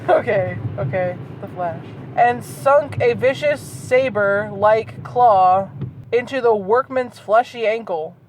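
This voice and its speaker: A woman's voice: fairly high pitch, slow pace, rough recording. A woman reading fan fiction aloud in a dramatic way, breaking into incredulous, sweary casual asides. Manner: incredulous, sweary commentary between read passages. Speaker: host reading and mocking bad fan fiction. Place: inside a moving car. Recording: car interior, clear road noise. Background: clear vehicle noise.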